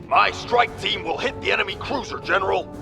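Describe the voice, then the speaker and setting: Gravelly, grim alien soldier. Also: male voice, high-pitched, brisk, over music. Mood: commanding. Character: grim, proud, melodramatic alien soldier in an action film. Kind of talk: melodramatic film acting inside a video game.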